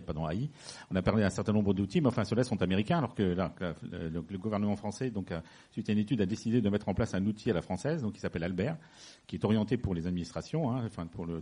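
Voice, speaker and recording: A man's voice: fairly low pitch, mellow, husky. A man speaking on a panel who rambles, restarting his sentences and taking questions. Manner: rambling, many fillers and restarts. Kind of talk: public discussion.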